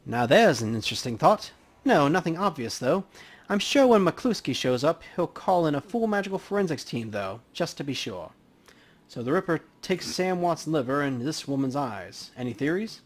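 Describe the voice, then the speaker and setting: A man voicing a chatty, folksy male coroner from a video game in an animated, casual manner. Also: on the high side, moderate pace. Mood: chatty, upbeat. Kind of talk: acting out video game dialogue.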